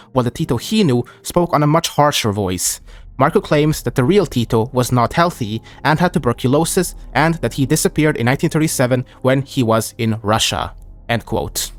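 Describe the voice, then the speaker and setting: A man reading scripted history narration in a measured way, quoting documents aloud. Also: medium pitch.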